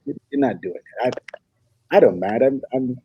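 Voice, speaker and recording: A man's voice: medium pitch, huge pitch swings, normal speed, dry recording, muffled recording, full character voice. A man playing a polite, earnest young African prince with formal, hesitant courtesy in a comedy table read. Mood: gentle, earnest. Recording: clear background noise with clicks.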